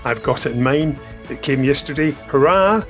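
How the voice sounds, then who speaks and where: Podcast host, man, mid-pitched, upbeat and jokey, faint music under him. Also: lively intonation, normal speed. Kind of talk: casual podcast chat.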